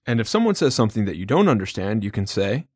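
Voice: medium pitch, fast talker, no room sound. Co-host explaining words, bouncy up-and-down voice, dry studio sound. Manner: explains meanings in lively, upbeat lines. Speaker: language lesson co-host. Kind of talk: scripted language lesson.

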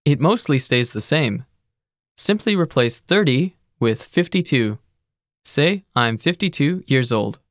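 Language course narrator, scripted, even teacherly delivery, mid pitch, dry studio sound. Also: no room sound. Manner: even, measured teacherly instructions.

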